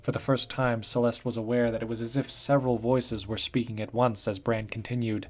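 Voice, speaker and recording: Man narrating a dark story. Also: mid-range voice, little pitch movement, a little fast.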